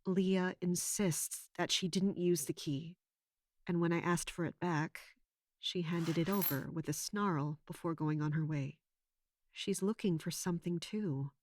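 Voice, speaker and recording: An actress playing a determined, polite young woman investigator, narrating and speaking in a measured, earnest way in an audio drama. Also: fairly low pitch, a little mellow, no echo, studio quality.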